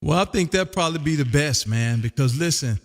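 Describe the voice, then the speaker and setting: A man's voice: fairly high pitch, animated, a little fast, big-room sound. An American man hosting a casual amateur radio chat in a folksy way, often repeating his phrases. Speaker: amateur radio operator and live stream host.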